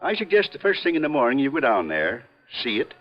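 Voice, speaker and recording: An earnest, formal American family lawyer. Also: male voice, mid-range voice, wildly swooping pitch, soft background music. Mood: firm, insistent. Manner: measured, formal, emphatic. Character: earnest family lawyer, loyal and sure of his client. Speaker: actor. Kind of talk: 1950s American radio drama acting. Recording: muffled old radio broadcast recording.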